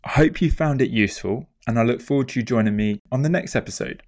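A man's voice: medium pitch, a little mellow, slight background noise. Congested man hosting a podcast. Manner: earnest, measured, repeats key points. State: congested from a cold. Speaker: podcast host. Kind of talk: solo reflective podcast monologue.

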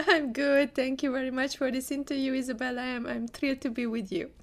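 A woman's voice: high-pitched, flat delivery, quick pace. A woman from Hungary with a Hungarian accent, answering conversationally as an interview guest. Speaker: interview guest, leadership coach.

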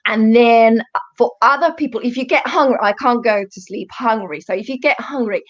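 Dull-sounding recording, woman. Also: a little high. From Europe, Finnish, born in Germany. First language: Finnish. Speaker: guest, health coach. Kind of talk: casual interview chat.

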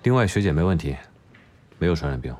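A man's voice: low-pitched, very animated, fast, a little mellow, a little hiss or hum. A man acting in an audio drama as a cool, blunt doctor who speaks in a curt, stern, matter-of-fact way. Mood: cool, clinical. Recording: music behind.

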